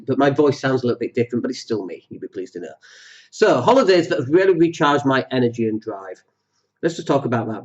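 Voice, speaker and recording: A man hosting a solo podcast, talking with a cold that makes his voice deeper, rambling and jokey with many fillers. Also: a little mellow, lo-fi sound. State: has a cold, voice deeper than usual. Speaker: podcast host.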